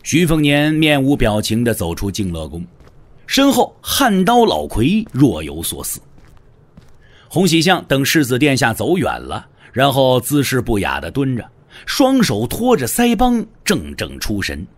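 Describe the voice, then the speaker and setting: A man's voice: medium pitch, wildly swooping pitch, a little slow. A man narrating a scripted martial-arts audio drama as a dramatic storyteller.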